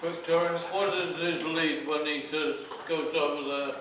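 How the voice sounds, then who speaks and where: A man directing a play rehearsal, giving informal, halting instructions. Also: a little high, steady tone. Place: echoey indoor rehearsal space. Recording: noisy echoey room, distant microphone.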